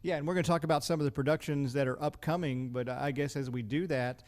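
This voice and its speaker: A man's voice: on the high side, steady tone, fast, a little mellow. An American man casually interviewing guests as a podcast host.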